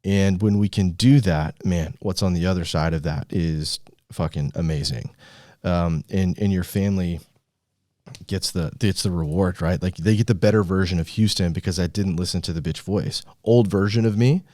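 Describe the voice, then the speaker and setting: A man with a very deep voice hosts a motivational podcast. He speaks casually but with a coach's emphasis and doesn't shy from swearing. The sound is dry, with almost no room in it. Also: moderate pace, mellow, no room sound. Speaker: podcast host and business coach.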